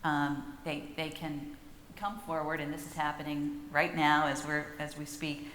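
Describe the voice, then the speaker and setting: A woman speaking as a panelist, explaining policy in a measured way with frequent fillers. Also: sits low, little pitch movement, fairly bright tone, a little hiss or hum. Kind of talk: panel discussion. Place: large conference hall. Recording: roomy hall reverb, faint ambience.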